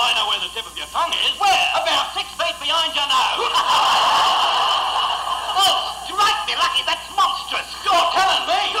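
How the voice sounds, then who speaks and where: A very noisy, echoey old radio recording of a vaudeville comic. The delivery is cheeky, exaggerated music-hall banter, high and swinging widely in pitch, with punchlines barked out. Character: bantering vaudeville comedy act, cheeky, crude wordplay, singsong catchphrase. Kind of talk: 1930s-40s Australian radio comedy sketch.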